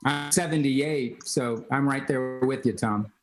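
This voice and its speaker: A man with a mid-pitched voice answers questions as a podcast guest. He sounds relaxed and matter-of-fact. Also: a little slow, music in the background. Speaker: guitarist guest. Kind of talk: casual interview chat.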